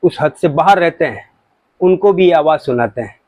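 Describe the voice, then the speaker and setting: A man's voice: a little high, lively intonation, normal speed, dry recording, muffled recording. A man giving an informal religious talk to viewers, explaining points in an explanatory way with examples. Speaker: religious commentator.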